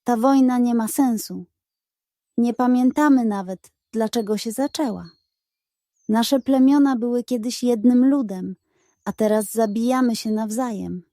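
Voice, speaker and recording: A woman's voice: on the high side, a little slow, mellow, no echo, studio quality. A woman voicing a proud, dignified animal tribe chief in a children's audio story, speaking earnestly. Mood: earnest, impassioned.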